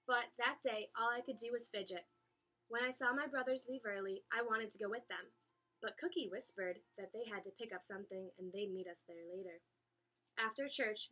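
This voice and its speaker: A woman reading a children's story aloud in an expressive, animated way, acting out the dialogue. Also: fairly high pitch, low-quality recording. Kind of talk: expressive read-aloud narration.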